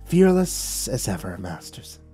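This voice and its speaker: Brief: hissing, commanding serpent devil voiced by a male narrator, low and drawn-out, faint music under it. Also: big pitch swings, mellow. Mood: tempting.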